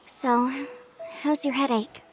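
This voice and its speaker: High-pitched, caring young sister. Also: female voice, steady tone, slow pace, soft background music. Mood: concerned. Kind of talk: video game voice acting.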